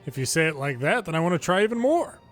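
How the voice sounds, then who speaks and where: A man's voice: on the high side, big pitch swings, fast, soft background music. A man hosting a game video, reading the story dialogue aloud in an informal, amateur way with casual asides. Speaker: gameplay video host reading subtitles aloud.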